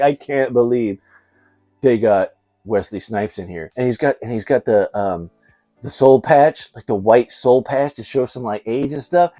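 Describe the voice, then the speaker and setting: A man's voice: on the high side, warm tone, no echo. A middle-aged man hosting a casual movie review, talking in a slangy, effusive and emphatic fan's way. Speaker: video host, movie reviewer.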